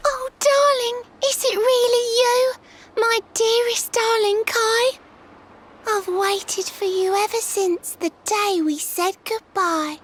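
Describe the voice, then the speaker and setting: Game mermaid: lovesick, earnest, rhyming sing-song delivery, very high and unhurried, music under the lines. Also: female voice, slight background noise. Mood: longing. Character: lovesick young mermaid woman, gentle and earnest. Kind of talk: video game voice acting. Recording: game score playing behind.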